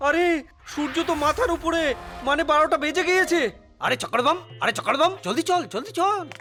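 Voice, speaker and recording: A man's voice: extremely high pitch, animated, normal speed, bright, forceful tone, heavily acted. A man voicing a bossy but friendly cartoon hero, exclamatory and affectionately chiding. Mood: startled, urgent. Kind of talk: exaggerated cartoon voice acting. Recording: faint music underneath.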